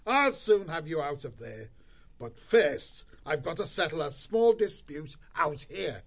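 Man playing a gruff, bossy shrew chief. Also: a little high, big pitch swings, measured pace, voice pushed up, heavily acted. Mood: hearty. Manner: gruff and commanding. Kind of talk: audiobook character voice.

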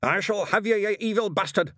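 A man's voice: sits high, brisk, fairly bright tone, no room sound, clean studio sound, voice pushed up. A man voicing a fanatical, angry old witchfinder, snarling and declaiming in a storybook reading. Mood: furious accusing.

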